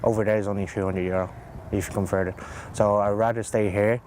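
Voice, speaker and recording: Low-voiced teen boy, relaxed. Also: fast talker, slight background noise. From Ireland. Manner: relaxed, hesitant, many fillers. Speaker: interviewee. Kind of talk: casual interview talk.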